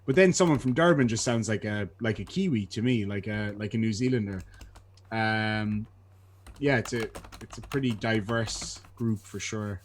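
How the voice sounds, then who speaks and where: A man with a soft southern Irish accent, chatting casually as a guest and tripping over restarts. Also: lowish voice, normal speed, slight background noise. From Ireland. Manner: chatty, self-deprecating, stammers on restarts. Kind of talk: casual chat. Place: lives in Australia.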